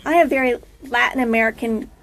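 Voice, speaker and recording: A woman's voice: fairly high pitch, fairly even intonation, fast, a bit bright. A woman from a show's crew chatting casually and offering compliments, with other chatter behind her. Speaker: off-camera show crew member. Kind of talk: casual chat. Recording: busy chatter in the background.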